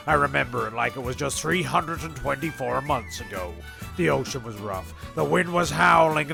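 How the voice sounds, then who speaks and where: A man with a heavily gravelly, mid-pitched voice narrates a comic story. He is mock-dramatic and rambling, with music clearly behind him. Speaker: narrator and host.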